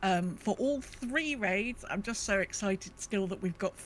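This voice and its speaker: A woman's voice: mid-range voice, expressive, measured pace. Woman, British accent, casual podcast co-host. Mid-range voice, faint noise behind it. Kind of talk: casual chat.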